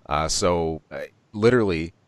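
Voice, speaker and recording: A man's voice: deep voice, moderate pace, no echo. A man co-hosting a podcast, chatting casually about movie news with lots of ums, restarts and laughs. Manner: rambling, many ums and restarts, laughs often. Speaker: podcast co-host.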